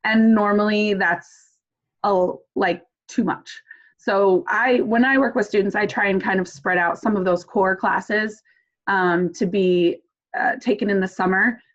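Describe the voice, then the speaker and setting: A woman advisor chats through students' questions over a video call, filling pauses with ums and uhs. Her pitch sits in a middle range. The call audio is muffled and lo-fi. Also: steady tone. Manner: chatty, many fillers. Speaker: academic advisor.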